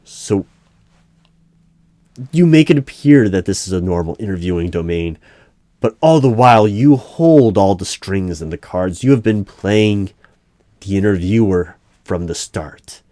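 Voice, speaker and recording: A man playing an unnerved, exasperated hiring manager in a one-sided audio roleplay, with halting speech that repeats words. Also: low-pitched, expressive, warm tone, no echo. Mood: resigned, uneasy.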